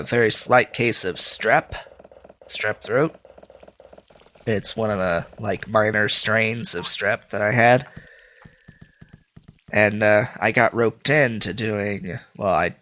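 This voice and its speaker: A man's voice: fairly low pitch, bright, forceful tone. A man with a stuffy nose from a cold, rambling through casual gameplay commentary with many filler sounds. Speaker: let's play host.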